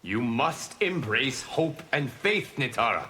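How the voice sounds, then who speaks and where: A man voicing a calm, solemn god in a video game, formal, measured and grave, speaking as a wise keeper of time. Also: mid-range voice, a little hiss or hum. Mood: stern, measured. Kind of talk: video game fight-intro dialogue.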